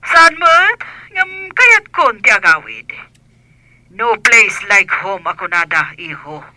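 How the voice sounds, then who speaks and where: A man's voice: high-pitched, big pitch swings, takes it slowly, sharp tone, dry recording, voice pushed up, full character voice. A man voicing an elderly, homesick mother talking to her son on a telephone in a radio comedy drama. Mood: chatty, insistent.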